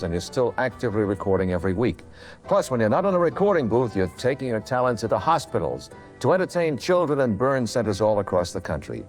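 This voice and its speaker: A man hosting a tribute show, presenting a scripted television address. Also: medium pitch.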